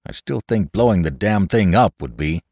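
Man playing a weary, embattled low-voiced man. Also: animated, normal speed, darkish tone, dry recording, studio quality. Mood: weary, defensive. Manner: weary, abrupt. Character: tired, embattled man arguing with his friend.

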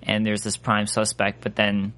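A man with a nasal voice, co-hosting a casual recap chat, quick to jump into quoted voices. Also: on the low side, completely flat delivery, fairly bright tone. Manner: chatty, breaks into quoted voices. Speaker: podcast co-host.

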